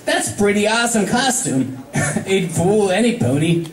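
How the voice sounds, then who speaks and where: A man's voice: high-pitched, expressive, a little slow, echoey room, recorded from a distance, voice pushed up, poor audio. A man voicing a cocky female prankster pegasus in a storybook cartoon tale, casual and a little defensive. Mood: playing it cool.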